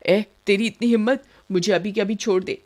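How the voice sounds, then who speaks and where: A woman's voice: medium pitch, steady tone, a little fast, natural voice. A woman voicing a menacing, bloodthirsty witch wife in a cartoon horror tale. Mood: furious. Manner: threatening, loud commands. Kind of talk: Hindi animated horror tale acting.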